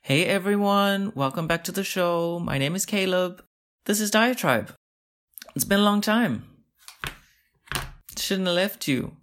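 A man's voice: high voice, lively intonation, a little slow, long pauses, a bit bright, a little hiss or hum. A young man hosting his own podcast, rambling in a chatty, self-mocking way with lots of asides. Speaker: podcast host. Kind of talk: casual solo podcast monologue.

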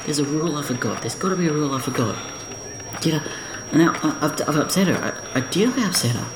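A man's voice: fairly high pitch, animated, close to an everyday voice. Man acting an anxious, stammering man in echoing noise. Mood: anxious, distressed. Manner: stammering, halting, repetitive, fretful. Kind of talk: first-person inner monologue in audio drama. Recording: noisy, echoing room sound.

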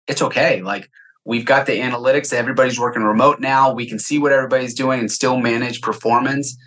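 A man's voice: mid-range voice, fairly bright tone. Guy on a podcast, sales exec type, normal mid voice, casual, tells it in little quotes. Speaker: podcast guest, software sales executive. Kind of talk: casual interview chat, anecdotal.

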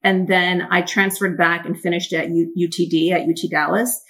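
A woman's voice: on the low side, normal speed, poor audio. A Mandarin-woman, candidly telling her life story as an interview guest. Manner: candid, blunt, energetic. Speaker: guest, company founder.

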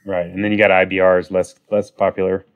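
A man hosting a podcast in a relaxed chat, his voice raspy and nasal from a cold. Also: low-pitched, a little fast, phone-quality sound. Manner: relaxed, conversational, with fillers. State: has a cold, raspy voice and clogged nose. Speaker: podcast host.

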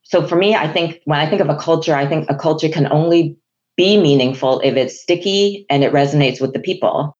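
A woman from Hong Kong whose first language is Chinese, a guest answering interview questions in a measured, businesslike way. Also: low voice, a little mellow, muffled recording. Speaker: guest, HR executive.

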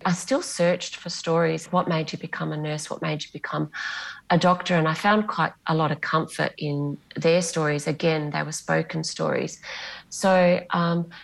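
A middle-aged Australian woman whose first language was Greek, speaking as a novelist guest on a podcast in a measured, reflective way. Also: low-pitched, creaky, rough recording. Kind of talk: podcast interview.

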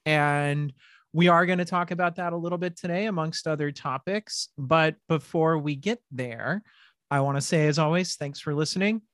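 Podcast host: middle-aged woman, lowish voice, animated and rambling, dry close mic. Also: moderate pace, dry recording. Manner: animated, laughing, rambling with restarts. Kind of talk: casual podcast chat.

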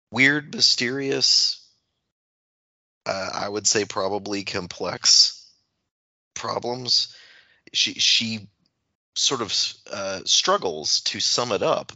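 A man with a deep, strikingly bright voice talks through literature at a slow, halting pace. He feels his way through each thought, repeating phrases as he goes, on a dry, slightly dull recording. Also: dry recording. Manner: halting, searching, thinks aloud in repeated phrases. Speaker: podcast panelist. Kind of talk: reflective literary discussion.

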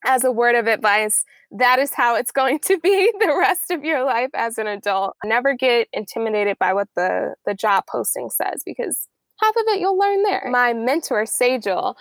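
A woman's voice: on the high side, dry recording. A woman hosting an interview podcast, speaking into a close microphone. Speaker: podcast host. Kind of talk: scripted introduction and interview.

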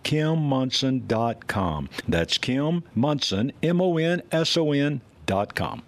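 A man reading a sponsor disclaimer in a measured, formal way and spelling out a website name. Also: medium pitch, dry recording.

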